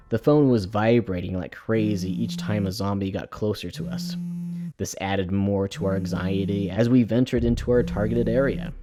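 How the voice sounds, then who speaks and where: A man reads a scripted first-person horror story in a measured, dramatic storytelling manner. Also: on the low side. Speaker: storyteller, narrator. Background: music.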